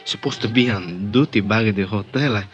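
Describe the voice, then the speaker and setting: An actor playing an eager, money-minded Mexican bellboy with a Spanish accent, polite and halting, in 1950s radio style. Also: male voice, lowish voice, soft background music, close to an everyday voice. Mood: earnest, deferential. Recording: dull vintage radio recording.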